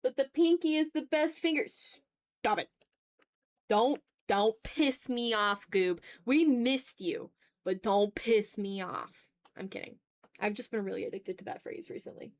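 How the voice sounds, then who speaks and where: A woman's voice: on the high side, a little slow, no echo. A woman chatting casually as she draws on a livestream, teasing her viewers with playful mock exasperation. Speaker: art streamer. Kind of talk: casual livestream chat while drawing.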